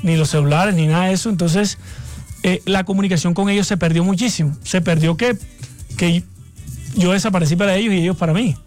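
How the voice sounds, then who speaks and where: A man in his thirties speaks with a Barranquilla costeño accent in a notably high voice. He answers radio interview questions slowly and haltingly, restarting and repeating words. A soft music bed plays underneath. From Colombia, raised in Barranquilla. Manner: hesitant, repeats words while searching. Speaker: guest singer.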